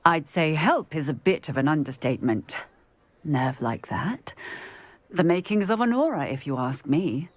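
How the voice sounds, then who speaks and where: An actress playing a brisk village officer in a video game, talking fast with sharp exclamations. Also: female voice, low-pitched, expressive, no room sound. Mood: amazed. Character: brisk village officer, adult woman, startled but warm. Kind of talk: video game cutscene acting.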